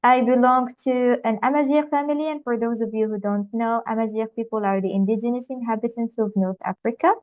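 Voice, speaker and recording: A woman's voice: fairly high pitch, fairly even intonation, a little fast, few pauses, a little mellow. Upbeat Moroccan woman on a video call. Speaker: youth leader and trainer giving a workshop. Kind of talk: online presentation. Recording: slightly dull, lo-fi video call audio.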